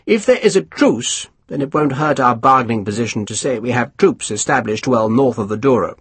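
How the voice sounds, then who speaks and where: A man's voice: medium pitch, animated, fairly quick, husky, dry recording. A man voicing a pompous, scheming British colonel who talks down to others in a haughty, snappish way, reading for an audiobook. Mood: condescending. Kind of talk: audiobook character voice.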